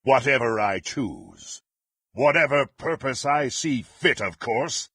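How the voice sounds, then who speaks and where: An actor playing a power-hungry, contemptuous dark warrior in a fantasy video game, with a gravelly, husky voice and haughty, theatrical, archaic phrasing. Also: male voice, medium pitch, lively intonation, slow, no echo, clean studio sound, full character voice. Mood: cold, menacing.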